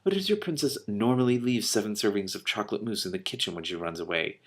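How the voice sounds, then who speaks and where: A man voicing a calm, mildly sardonic female dragon from a storybook, speaking in a dryly pointed way. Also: lowish voice, fast talker, breathy edge.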